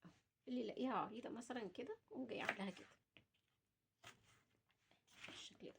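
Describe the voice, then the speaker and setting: Fast-talking, higher-pitched Egyptian woman. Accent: Egyptian Arabic. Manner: instructive, chatty, addressing viewers directly. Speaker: home cooking vlogger. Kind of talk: casual cooking demonstration, chatting to viewers. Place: at home while preparing food. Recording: clearly noisy home recording with clicks.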